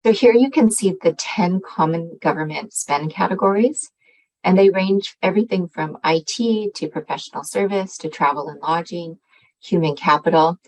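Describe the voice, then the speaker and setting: Female agency presenter for an explanatory webinar, low register, hesitant delivery peppered with ums. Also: moderate pace, low-quality recording.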